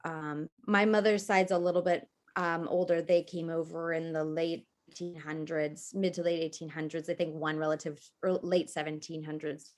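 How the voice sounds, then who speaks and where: A woman from northern Wisconsin, a guest in an interview, talking in a chatty, animated way with quick asides. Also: low-pitched. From northern Wisconsin, USA. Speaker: guest, copper cookware maker. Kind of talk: casual interview chat.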